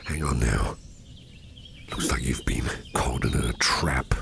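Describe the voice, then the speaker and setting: A man playing a gentle, protective businessman boss in an ASMR roleplay, murmuring tender reassurance in a breathy near-whisper. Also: a bass voice, slow pace, background noise, full character voice. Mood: worried, reassuring. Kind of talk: ASMR roleplay, soothing personal attention.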